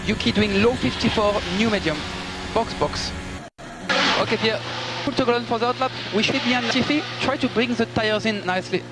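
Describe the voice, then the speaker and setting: A man's voice: sits high, brisk. A man working as a race engineer, giving clipped, procedural instructions and updates over team radio. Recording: very noisy car team radio transmission. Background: loud car noise.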